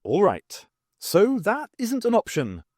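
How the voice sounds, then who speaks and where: A man's voice: high-pitched, huge pitch swings, slow pace, no room sound, studio quality, voice pushed up, exaggerated voice. A man voicing a worried man who reluctantly acts as the voice of reason in an audiobook. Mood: steadying.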